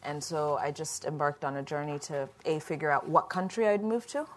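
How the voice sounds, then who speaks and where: A middle-aged woman from Ethiopia, a company founder answering questions on a panel in a relaxed, reflective way. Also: deep voice, moderate pace, a little hiss or hum.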